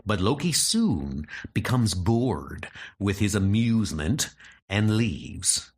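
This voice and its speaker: Slow, lively male comic book narrator. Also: fairly low pitch, breathy edge.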